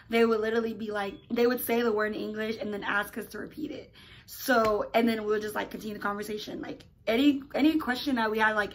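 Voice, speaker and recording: Bright, chatty young woman. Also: mid-range voice, poor audio. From Nigeria. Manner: animated, chatty, lots of "like" and "oh my gosh". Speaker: YouTuber. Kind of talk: casual storytelling to camera.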